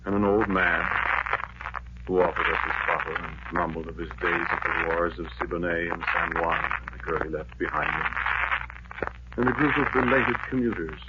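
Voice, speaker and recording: An actor playing a lovelorn, haunted man in an old-time radio drama, speaking in a brooding, poetic, measured way on a noisy vintage recording. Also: deep voice, highs cut off, close to an everyday voice. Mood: somber remembering. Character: lovelorn man haunted by a dreamed-of beloved, gone to war.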